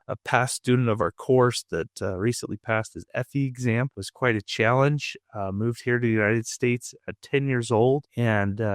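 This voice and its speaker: A man hosting a podcast, hoarse and losing his voice, chatting upbeat and laughing at himself. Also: lowish voice, no echo, studio quality. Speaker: podcast host. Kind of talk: casual podcast chat.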